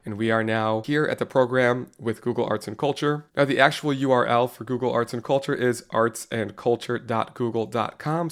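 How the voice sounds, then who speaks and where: A man with a cold and a slightly raspy voice, talking casually and in a friendly way as he leads an online teacher course through a software tutorial. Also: medium pitch. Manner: casual, friendly walkthrough with frequent "go ahead" and fillers. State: under the weather, slightly raspy voice. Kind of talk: screen-recorded software tutorial.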